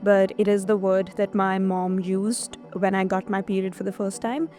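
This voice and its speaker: A woman whose first language is Hindi speaks reflectively and casually. Her voice is mid-range and fairly even in pitch. Soft music plays faintly underneath. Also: moderate pace. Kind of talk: personal testimony for a video.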